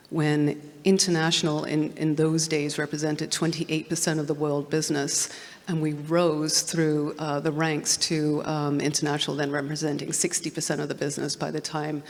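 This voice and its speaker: A woman from Hong Kong speaking on a panel, halting with many fillers and restarts. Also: low-pitched, a little mellow. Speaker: panelist, studio distribution president. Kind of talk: panel discussion. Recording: long hall reverb.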